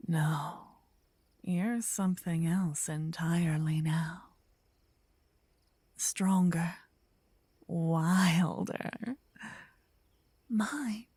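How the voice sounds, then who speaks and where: A woman playing a gentle, possessive, lovestruck vampire in a soft, intimate, breathy ASMR whisper, close to the ear. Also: on the low side, no room sound, heavily acted. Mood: teasing, possessive. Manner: intimate, slow, teasing. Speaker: ASMR roleplay performer. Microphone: close binaural ear-to-ear microphone.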